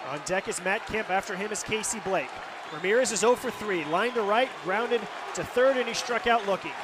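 A man's voice: high voice, brisk. An American man calling live baseball play-by-play on radio in a clipped, descriptive way, reciting stats. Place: stadium broadcast booth. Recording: loud stadium crowd noise. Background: ballpark crowd and stadium ambience.

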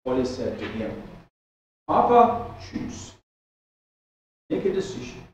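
A man's voice: mid-range voice, slow pace, far from the mic. An older man preaching a sermon from a lectern, telling a personal story with many restarts. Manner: storytelling, animated with hand gestures, frequent restarts. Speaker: pastor. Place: church hall with stage and keyboard. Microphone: stand mic at lectern, far camera. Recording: echoey hall, speaker off mic.

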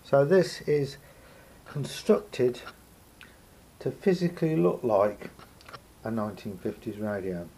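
Gravelly, husky man explaining things. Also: mid-range voice, big pitch swings, slow pace, pauses often, dark tone, low-quality recording. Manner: hesitant, thinking aloud, explanatory. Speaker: hobbyist radio repairer. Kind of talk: unscripted hands-on demonstration talk. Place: indoor room at a table.

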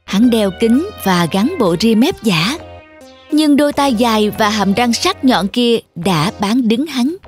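Female storyteller for children's tales, fairly high voice, scripted narration over light music. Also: animated, slow.

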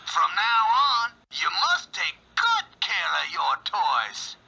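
A man voicing a jealous, bossy cowboy toy in a read-along storybook, his pitch pushed up and strained. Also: huge pitch swings, slow, gravelly, pushed and bright, breathy edge, heavily acted, rough recording. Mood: insistent.

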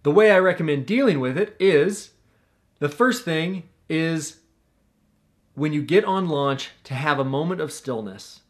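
A man's voice: fairly high pitch, animated, a little slow. A young man hosting a tutorial vlog, talking straight to the camera in an earnest, coaching way from a small room at home. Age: young adult. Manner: earnest, coaching, gestures with his hands. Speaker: paragliding instructor and channel host.

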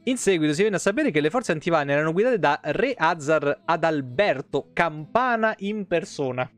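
A man in his thirties with a slightly high voice streams a game. He reads the lore aloud and mixes in casual, irreverent commentary. Soft music plays behind him. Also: expressive, moderate pace. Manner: irreverent, foul-mouthed asides. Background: background music.

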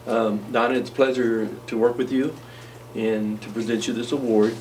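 A man's voice: mid-range voice, little pitch movement, normal speed, darkish tone, a little hiss or hum. A middle-aged man presenting a staff award at a school board meeting, sincere and hesitant with many ums. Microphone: far camera. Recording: distant voice in a meeting room.

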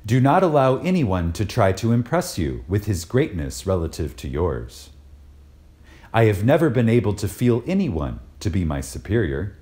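Very deep, mellow man reading a lecture. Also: big pitch swings. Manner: deliberate, emphatic, with pauses. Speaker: reader of a spiritual lecture. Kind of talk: reverent, dramatic reading of a lecture.

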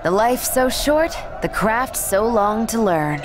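High-voiced, teasing game heroine. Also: female voice, animated, takes it slowly, a crowd in the background, background noise. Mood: wry. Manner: teasing, wry. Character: playful, flirty, confident female comrade-in-arms. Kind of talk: video game voice acting.

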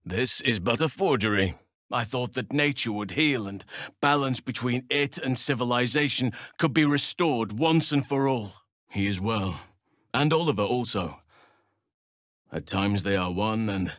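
An actor playing a gentle, solemn druid leader in a video game, speaking in a measured, formal, earnest manner. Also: male voice, lowish voice, dry recording, studio quality. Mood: thoughtful. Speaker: video game companion character. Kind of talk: video game voice acting, formal fantasy dialogue.

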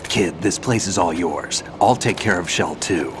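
Gruff war veteran. Low-voiced, commanding, sure of himself; game scene with clear noise. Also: male voice, unhurried. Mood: brusque, dismissive. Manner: brusque, commanding. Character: gruff, confident male veteran soldier. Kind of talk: dramatic video game acting. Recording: clearly noisy ambience behind the voice.

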